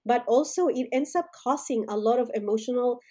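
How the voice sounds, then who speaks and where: A woman's voice: fairly high pitch, fairly quick, mellow, breathy edge. A woman from Colombia, a guest and activist, speaking in an emphatic interview style that repeats key phrases for stress. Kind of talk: conversational interview.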